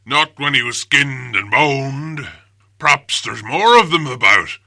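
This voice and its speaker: A man voicing big, dim, quarrelsome trolls in a storybook, with a rough gravelly Cockney delivery. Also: medium pitch, lively intonation, no echo, clean studio sound, exaggerated voice. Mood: menacing. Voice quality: gravelly, forceful. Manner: slow-witted, rough, blustering speech.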